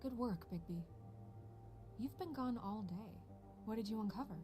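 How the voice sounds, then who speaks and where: An actress playing a poised, commanding official in a game, speaking in a crisp, composed way, with music underneath. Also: female voice, fairly high pitch, lively intonation, normal speed, natural voice. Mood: relieved.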